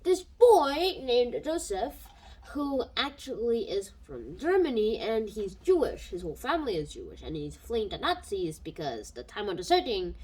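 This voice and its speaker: Chatty woman with a lively, rambling voice. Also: fairly high pitch, moderate pace, husky, rough recording. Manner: rambling, thinks aloud with fillers and restarts. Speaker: book reviewer. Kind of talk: casual spoken book review. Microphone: home microphone.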